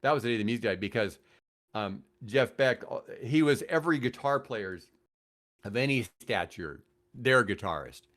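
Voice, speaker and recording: A man's voice: medium pitch, expressive, moderate pace, a bit bright. A middle-aged American man telling stories in casual conversation as a podcast guest, rambling and enthusiastic. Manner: rambling, enthusiastic about music. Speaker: podcast guest, guitarist and radio host.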